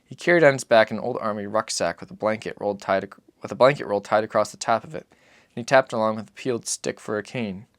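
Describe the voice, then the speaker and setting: A man giving a dramatic reading of literary fiction, solemn and measured in his phrasing. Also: on the low side, dry recording, clean studio sound. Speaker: audiobook narrator.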